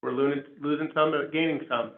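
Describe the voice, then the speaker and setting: A man with a hoarse voice from a cold, casually giving a routine report to a school board. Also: fairly high pitch, steady tone, a little fast. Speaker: school district administrator. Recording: tinny, echoey room audio.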